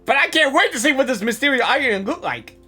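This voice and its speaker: A woman gamer reacting casually to a video game, excitable and quick to exclaim. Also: sits high, slightly rough, faint music behind. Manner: excitable, exclaiming, echoing the dialogue. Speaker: gaming reaction video creator.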